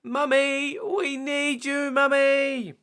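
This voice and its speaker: A man squeaking out an excitable little piggy girl. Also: slow, exaggerated voice. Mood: eager.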